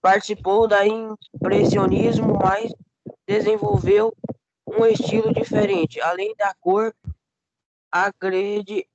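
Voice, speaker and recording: A hoarse student with a cold, reading a textbook passage aloud in class with halting stumbles. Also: male voice, high-pitched, sing-song, darkish tone. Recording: noisy lo-fi call audio.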